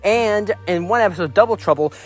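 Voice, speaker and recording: A man's voice: high-pitched, quick pace. A man giving casual, rambling opinion commentary as a video reviewer, in a critical, exasperated manner. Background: background music.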